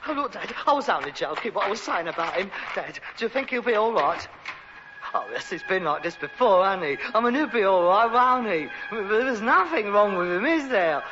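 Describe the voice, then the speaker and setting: High-pitched whining Cockney son. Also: male voice, expressive, a little fast, a crowd in the background. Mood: panicked. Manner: whining, dramatic, indignant. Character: exasperated, pretentious rag-and-bone man, put-upon grown son. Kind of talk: British sitcom acting. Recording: dull, noisy old sitcom recording.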